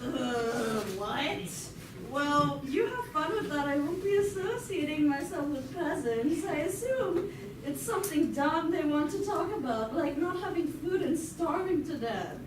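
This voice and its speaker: A spoiled royal apprentice acted by a woman with a very high, whiny voice and a dismissive, snobbish manner. It sounds like an amateur puppet play in an echoey room, caught from far off. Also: animated, measured pace. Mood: bored, disdainful. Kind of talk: amateur comic puppet-show acting. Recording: echoey room, distant mic, noise.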